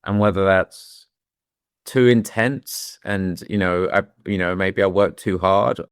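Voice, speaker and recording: A man's voice: low-pitched, steady tone, slow, clean tone, no room sound, studio quality. A middle-aged man with a British accent who grew up in London, speaking as an interview guest in a candid, reflective way and often restarting his sentences. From London, England. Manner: thoughtful, with frequent restarts and "you know"s.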